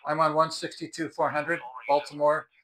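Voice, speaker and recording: Bright-voiced older American man explaining. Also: on the high side, flat delivery, normal speed, slight background noise, low-quality recording. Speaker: product review host. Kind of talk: unscripted explainer to camera. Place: outdoors on a house porch by the front door.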